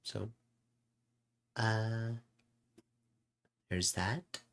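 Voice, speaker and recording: A man chatting casually and reflectively as a streamer, in a halting way with drawn-out pauses. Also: fairly low pitch, fairly even intonation, gravelly, fairly bright tone. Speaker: streamer host.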